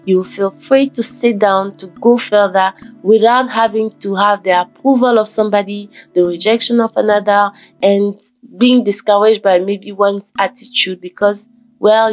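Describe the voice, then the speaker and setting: A woman from Ghana talking casually in a motivational vlog, with a croaky just-woken voice and a rambling manner that repeats and corrects itself. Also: mid-range voice, steady tone, normal speed. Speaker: vlogger. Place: forest fitness trail outdoors. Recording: dull lo-fi audio with faint background music.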